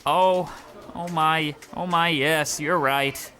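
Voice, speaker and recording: A man's voice: on the high side, steady tone, slow, pushed and bright. A man playing a meek, miserable old postal clerk, fussy and quaintly polite, in an old-time radio comedy style. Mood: meek, bemused.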